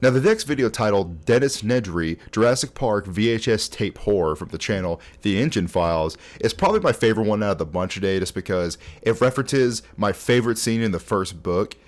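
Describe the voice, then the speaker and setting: A man's voice: fairly low pitch, expressive, fairly quick, few pauses. A young man in his twenties hosting a casual, conversational video essay, talking informally through scripted commentary. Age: 20s. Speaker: host.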